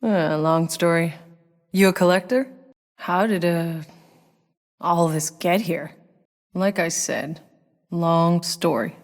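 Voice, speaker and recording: A hardened female soldier from a sci-fi game, speaking on the low side. She is blunt and world-weary, with dry sarcasm and short, clipped phrasing. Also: slow, long pauses. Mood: dry, guarded. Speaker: video game player character. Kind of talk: naturalistic game character voice lines.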